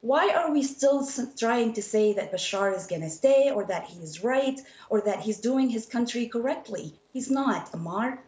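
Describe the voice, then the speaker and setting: A woman's voice: fairly high pitch, lively intonation, normal speed, hardly any pauses, a little mellow, roomy sound, muffled recording. A woman from Syria speaking as an activist in an impassioned televised political debate, emphatic and insistent, repeating her phrases.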